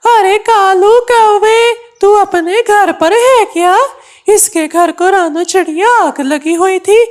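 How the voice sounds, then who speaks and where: Male cartoon parrot, female performer: very high voice, unhurried, earnest, quick to scold. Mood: worried. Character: earnest, easily upset male parrot friend. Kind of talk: Hindi kids' cartoon dubbing.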